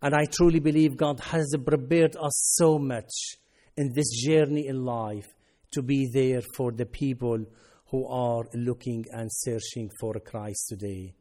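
A man from Syria, a native Arabic speaker, giving an earnest personal testimony in halting non-native English. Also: mid-range voice, fairly even intonation, dark tone. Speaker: ministry worker.

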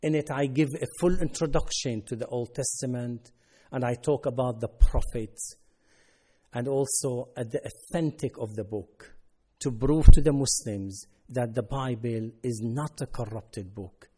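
A man from Syria, a native Arabic speaker, giving an earnest personal testimony in halting non-native English. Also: mid-range voice, dark tone, slightly rough. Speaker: ministry worker.

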